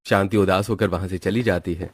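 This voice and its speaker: A man's voice: low-pitched, fairly even intonation, a little fast. A man reading scripted narration for a cartoon moral story as its storyteller. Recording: background music under the voice.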